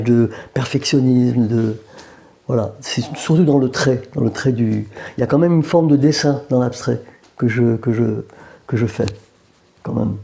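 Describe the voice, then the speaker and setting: A man's voice: medium pitch, gravelly, mellow. A man from Algeria, a painter, chatting in an interview in a gallery, with many hesitations and joking asides. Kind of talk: casual interview. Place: art gallery room.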